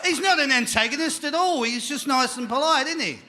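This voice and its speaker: A man with an Australian accent hosting a live panel show, energetic and jokey, calling out to a crowd in the venue. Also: very high voice, brisk, very noisy.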